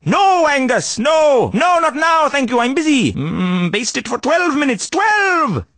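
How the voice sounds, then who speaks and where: An actor playing a mad old desert hermit in a comic video game, ranting and rambling erratically. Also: male voice, extremely high pitch, very animated, measured pace, a bit bright, no echo, heavily acted. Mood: boastful, scatterbrained. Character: mad old desert hermit, addled, shouting at imaginary friend.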